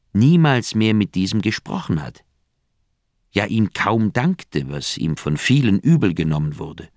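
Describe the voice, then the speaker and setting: An uneasy older doctor in a radio crime drama. His low voice swings expressively through the phrases, with a creaky edge. He tells things deliberately and reflectively, in a dry, close recording. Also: no room sound, exaggerated voice. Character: troubled older male Swiss surgeon, reflective and uneasy.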